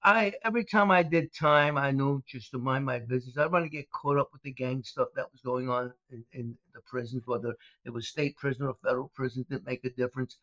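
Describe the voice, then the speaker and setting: A man's voice: mid-range voice, fairly even intonation, brisk, hardly any pauses, fairly bright tone, no echo. An older man from Brooklyn, recounting his past in a rambling way as an interview guest. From Brooklyn, New York. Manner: rambling, many uh fillers and restarts. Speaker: guest, former mobster turned speaker. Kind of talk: storytelling interview. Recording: dull, dry lo-fi audio.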